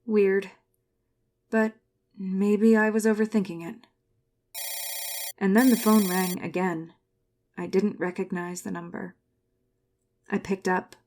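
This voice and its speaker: Actress for guarded female lead and narrator, wounded, confessional, mid-pitched, audio drama. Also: level tone, slow, long pauses, darkish tone, slight background noise, natural voice. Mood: wary. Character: Florence, guarded, wounded woman recalling her religious school childhood. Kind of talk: first-person scripted drama narration.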